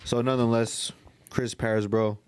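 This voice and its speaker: A man's voice: medium pitch, little pitch movement, moderate pace, a crowd in the background. A man co-hosting a podcast in casual, slangy, profane chat. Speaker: podcast co-host.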